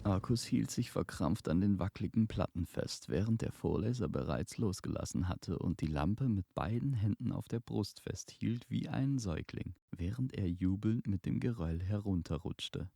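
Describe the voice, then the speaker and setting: A man narrating a fantasy tale in a suspenseful, measured storytelling manner. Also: on the low side, expressive, vocal fry, dark tone, no room sound, studio quality. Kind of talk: dramatic scripted fantasy narration.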